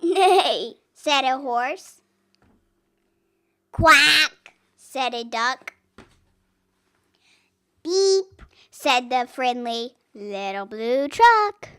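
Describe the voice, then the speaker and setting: A young reader with an expressive, deliberate delivery, reading a picture book aloud and acting out animal sounds. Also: mid-range voice, clean tone. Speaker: storybook reader.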